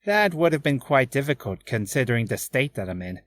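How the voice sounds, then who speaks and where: A man voicing a polite, composed circus acrobat with a measured, courteous manner for a game character reel. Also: mid-range voice, big pitch swings, dry recording, natural voice. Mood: calm.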